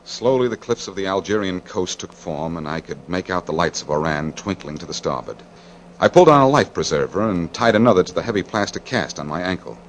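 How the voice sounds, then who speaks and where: A war correspondent narrates in the first person for a radio drama. His voice is fairly low, grave and measured, with clear rumbling noise behind it. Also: rough recording. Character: American male war correspondent recounting battle ordeal.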